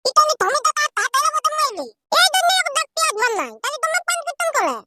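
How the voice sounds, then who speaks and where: A squeaky cartoon village pal nags insistently at an extremely high pitch. The delivery is very fast and comic, with a Purulia accent. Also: male voice, sing-song, loud music behind the voice. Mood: pestering. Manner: insistent, nagging.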